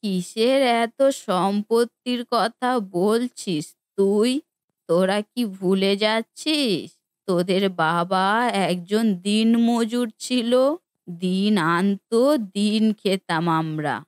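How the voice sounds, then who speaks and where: A woman's voice: mid-range voice, slow pace, no room sound, close to an everyday voice. Lady acting as cartoon old mom scolding her grown kids, indignant, close dry sound. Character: stern, reproachful elderly mother of grown children. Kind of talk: Bengali cartoon family drama acting.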